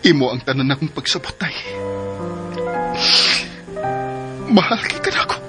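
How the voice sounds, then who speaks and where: A man's voice: mid-range voice, animated, racing, lightly acted. Earnest devoted young suitor played by a man. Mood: protective, devoted. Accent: Filipino, Cebuano-speaking. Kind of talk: radio drama acting. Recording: faint music bed under radio drama voice.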